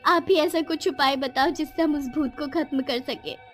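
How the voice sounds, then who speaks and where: A scheming village wife in a cartoon tale, put on by a woman narrator. She speaks high and emphatically, with theatrical greed, over faint music. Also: normal speed, fairly bright tone. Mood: fake pleading. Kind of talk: animated storytelling.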